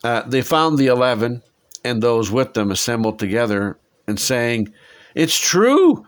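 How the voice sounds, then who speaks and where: A man with a head cold and lingering cough, teaching a Bible passage in a conversational way and asking his listeners rhetorical questions. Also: fairly low pitch. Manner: warm, musing, asks listeners rhetorical questions.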